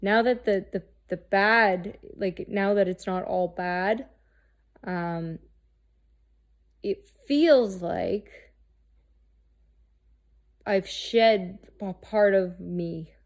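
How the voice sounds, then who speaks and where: A woman in her thirties, talking candidly and haltingly about herself, restarting often and swearing freely. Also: medium pitch. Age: 30s. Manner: halting, searching, with many restarts and fillers. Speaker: host. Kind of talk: candid personal monologue.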